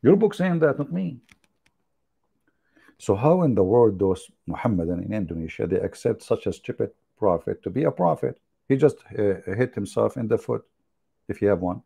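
A man with a gravelly dark voice. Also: fairly low pitch, big pitch swings, moderate pace. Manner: mocking, joking, laughs at his own jokes. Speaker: online religious debate host. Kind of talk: casual livestream commentary.

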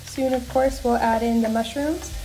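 A young woman hosting her own home cooking show, talking through each step in a relaxed, chatty way with small asides. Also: a little high, normal speed, creaky, low-quality recording. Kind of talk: casual cooking voice-over. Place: home kitchen.

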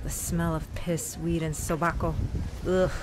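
An actress with a Mexican American accent playing a proud, vengeful young woman, intense and shifting between mutters and shouts. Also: on the low side, flat delivery, measured pace, noisy background, close to an everyday voice. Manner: intense, swinging from muttering to yelling. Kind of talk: audio drama acting.

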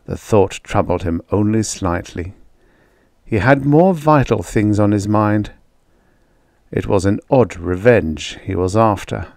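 A man's voice: on the low side, a little mellow, no room sound, clean studio sound. A man reading a vintage short story aloud as scripted audiobook narration, with a dramatic storytelling delivery.

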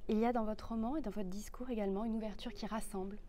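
A woman interviewer reading out her prepared questions in a measured, formal way. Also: mid-range voice, fairly even intonation, dark tone.

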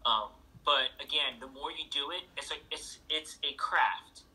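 Bright, forceful man telling stories. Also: a little high, measured pace, rough recording. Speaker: interviewed author and thru-hiker. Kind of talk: casual storytelling in a video-call interview. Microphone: video call played through a laptop speaker.

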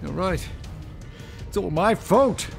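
An excitable, high-pitched professor. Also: male voice, gravelly, soft background music, full character voice. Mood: subdued, reflective. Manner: halting, repeats himself, emphatic. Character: anguished, excitable male professor and protective father. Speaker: actor. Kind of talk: audio drama acting.

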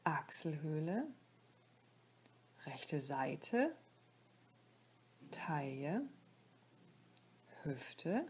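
Female meditation guide: mellow, slightly low voice, soft and breathy to near-whisper, calm, soothing, spacious phrasing. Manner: calm, soothing, short instructive phrases with pauses. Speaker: yoga nidra teacher leading a guided relaxation.

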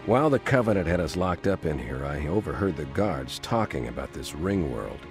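A man's voice: extremely low pitch, sing-song, normal speed, over music, heavily acted. A man playing a seasoned, authoritative military captain with a grave manner in a sci-fi video game. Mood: stern, grave. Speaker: starship captain.

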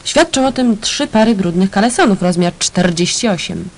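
A woman's voice: medium pitch, animated, normal speed. Cheeky young student heroine, chatty, exclaiming to herself; a woman plays her. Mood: nosy.

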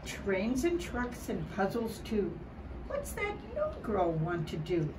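An older woman reading a children's picture book aloud in an expressive, deliberate way, dramatizing it for young children. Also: mid-range voice. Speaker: early childhood storybook reader. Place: outdoors by a building wall and door. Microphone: camera a short distance away. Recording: distant mic, clear background noise outdoors.

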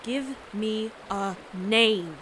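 A woman's voice: mid-range voice, extremely slow, noisy background. A woman playing a cold, menacing interrogator in a radio noir drama, speaking curtly. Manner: curt, threatening.

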